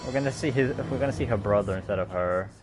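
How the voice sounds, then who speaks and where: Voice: low voice, fast, over music. A game streamer giving casual, off-the-cuff gameplay commentary with some swearing.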